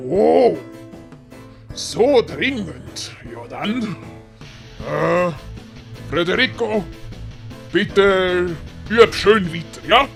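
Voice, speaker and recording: A woman's voice: medium pitch, expressive, very slow, vocal fry, long pauses, faint music behind, heavily acted. A woman with a Swiss accent voicing a pompous, scheming older great-uncle in a children's audiobook. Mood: stern. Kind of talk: dramatised German-language children's audiobook.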